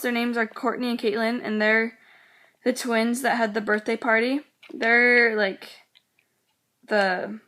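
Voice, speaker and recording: A woman's voice: on the high side, a bit bright. A teenage girl chatting casually in a vlog, rambling with lots of "like" while getting over a cold that makes her voice go in and out. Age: teens. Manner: rambling, many "like"s, quotes others. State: sick, voice going in and out. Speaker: vlogger. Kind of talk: casual chat.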